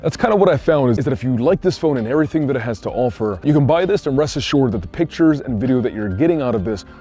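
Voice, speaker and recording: A man's voice: mid-range voice, lively intonation, fast, mellow. A man giving a casual, conversational product review as a tech reviewer, often dropping "like" into his sentences. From Puerto Rican. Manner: conversational, frequent "like" asides.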